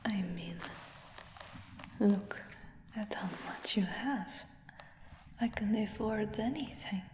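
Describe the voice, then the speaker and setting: A woman playing a smug, doting human dragon hunter in a fantasy ASMR roleplay, whispering in a coaxing, gently boastful way. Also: mid-range voice, takes it slowly, a little hiss or hum. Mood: coaxing, pleased. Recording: echoey whisper with long cave-like reverb.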